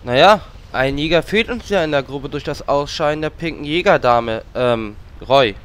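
A man playing an excitable, impulsive male guild member in a parody audio drama. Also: on the high side, moderate pace, smooth, natural voice. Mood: lively. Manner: lively, impulsive.

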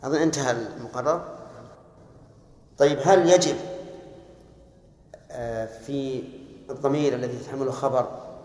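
A man teaching a grammar lesson, quizzing students and briskly correcting their answers. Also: medium pitch, a little mellow. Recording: echoey hall.

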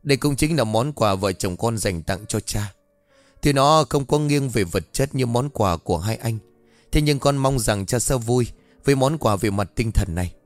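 A man voicing a spoiled, scheming young daughter who flatters and scolds. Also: moderate pace, dry recording, soft background music, natural voice. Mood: sweet. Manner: sweet to her father, shrill when angry.

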